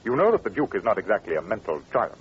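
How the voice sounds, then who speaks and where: Sharp english detective, fast talker, lively formal delivery, old radio drama. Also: male voice, on the low side. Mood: incisive. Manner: precise, incisive, formal. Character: shrewd, brisk, blunt gentleman detective. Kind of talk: 1940s radio acting. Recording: dull, noisy old radio recording.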